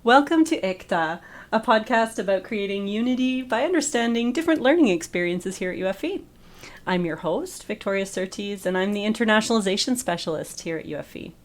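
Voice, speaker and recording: A woman's voice: mid-range voice, lively intonation, fairly quick. Woman podcast host, mid-range voice, upbeat and friendly interviewer, faint music in the background. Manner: upbeat, friendly, many ums. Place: radio studio.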